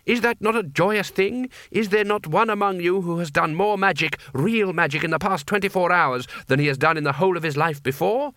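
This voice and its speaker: A man's voice: sits high, brisk, few pauses, fairly bright tone, dry recording, voice pushed up. A man voicing an imperious, zealous boy wizard in an audiobook fantasy story, declaiming his words like orders. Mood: exhorting. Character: commanding zealous boy wizard leading the mages.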